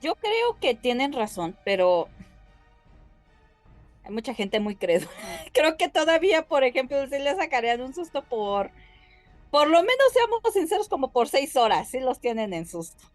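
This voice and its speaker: Woman podcaster, kinda high voice, playful chatty storytelling, soft music behind. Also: big pitch swings, moderate pace. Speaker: podcast co-host. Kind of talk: casual storytelling to co-hosts.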